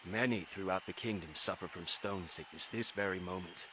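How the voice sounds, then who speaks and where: An actor playing a proud, chivalrous knight in a fantasy game, speaking in stately, formal phrases. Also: male voice, low-pitched, normal speed, noisy background, close to an everyday voice. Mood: solemn respect. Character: proud, chivalrous knight in armour, praising a hero.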